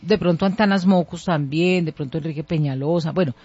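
Male co-host, fairly high voice, dry close mic, chatty with hesitations. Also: fairly quick, warm tone, slightly rough, no echo, lo-fi sound. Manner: conversational, hesitant, short agreements. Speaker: radio co-host. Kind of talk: radio panel discussion.

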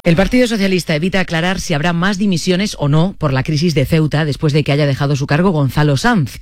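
A woman's voice: low-pitched, darkish tone, dry recording, studio quality. A woman with a Castilian Spanish accent presenting a live radio news bulletin.

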